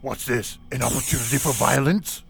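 A man's voice: medium pitch, brisk, gravelly, slight background noise, lightly acted. A man playing a surly, menacing alien warrior officer in a comic radio play. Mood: gruff relish. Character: surly, menacing alien warrior lieutenant, male, relishing violence. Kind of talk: parody radio play acting.

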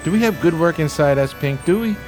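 A man's voice: a little high, animated, fairly quick, over music, close to an everyday voice. An actor playing an eloquent, melancholy homeless man of 1920s New York in florid radio-theater style. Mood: stirred, resolute. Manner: florid, grandiloquent, theatrical phrasing.

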